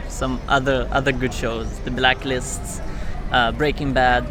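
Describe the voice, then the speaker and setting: A man's voice: mid-range voice, little pitch movement, unhurried. A man, explaining things casually as an interviewee and repeating phrases as he goes. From Morocco. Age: 20s. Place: outdoors on a city street. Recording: noisy urban street background. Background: clear street and traffic noise.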